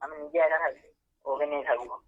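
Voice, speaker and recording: A man's voice: fairly high pitch, fairly even intonation, fast, fairly bright tone, breathy edge, no echo. A young adult man in a casual phone chat, protesting in an exasperated manner over a lo-fi line. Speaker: prank call recipient.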